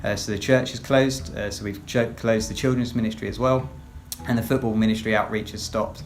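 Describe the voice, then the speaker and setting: English man speaking in measured tones. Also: fairly low pitch, fairly even intonation. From England, West Sussex. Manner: measured, with frequent fillers. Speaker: children's pastor. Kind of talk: recorded personal update.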